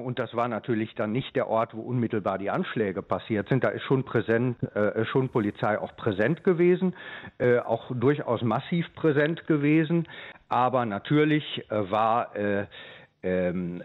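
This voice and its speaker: A man giving a live news interview as an official and eyewitness, speaking haltingly with frequent pauses. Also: mid-range voice. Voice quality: hesitant delivery, frequent pauses. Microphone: telephone. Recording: tinny narrow-band telephone line.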